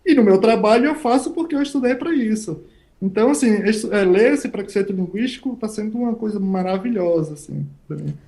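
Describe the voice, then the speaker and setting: A man's voice: sits high, animated, fairly quick, vocal fry, warm tone. Plain-spoken Northeastern Brazilian man in his thirties. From Bahia backlands, Brazil. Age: 30s. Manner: plain-spoken, emphatic, talks in short stacked phrases. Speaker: scientist guest. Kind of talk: interview answers.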